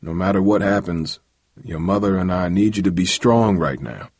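A man voicing a weary, serious middle-aged father in an audiobook, speaking gravely and gently as he gives advice. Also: sits low, dry recording, studio quality. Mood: weary, earnest. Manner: slow, grave, gentle advising. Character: weary, serious ailing father of a teenage boy.

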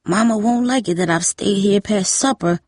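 A woman's voice: mid-range voice, moderate pace, darkish tone, breathy edge, dry recording, clean studio sound, lightly acted. Woman voicing a confused, childlike elderly grandmother. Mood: insistent, childlike. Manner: childlike, insistent. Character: confused elderly grandmother, childlike, believes she is a little girl.

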